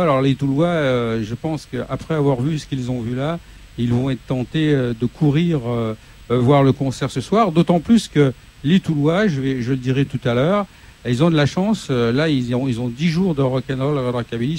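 A man's voice: mid-range voice, darkish tone. Hesitant man interviewing on a studio set. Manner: hesitant, many fillers and restarts. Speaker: local TV show host.

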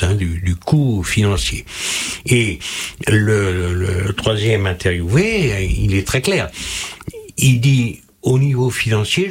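A man on a talk show panel explaining a point in a didactic way, his voice jumping up for emphasis. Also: breathy edge. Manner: didactic, pitch jumps up for emphasis. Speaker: panel expert.

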